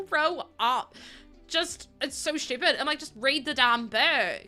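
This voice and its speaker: Woman, livestreamer, chatty, laughs a lot, very bright tone, faint background music. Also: sits high, slow. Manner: chatty, laughs often, greets chat warmly. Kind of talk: casual chat with stream viewers.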